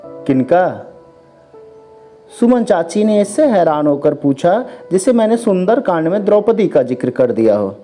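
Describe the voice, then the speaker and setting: A storyteller narrating a scripted first-person story in an expressive, dramatized way. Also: mid-range voice, normal speed, hardly any pauses. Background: background music.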